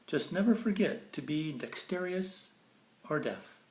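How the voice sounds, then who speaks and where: A man's voice: a little high, expressive, a little slow, a little mellow. A man reading a children's picture book aloud in a theatrical, playful way, with casual asides about the pictures. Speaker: storybook reader. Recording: roomy echo, faint background noise.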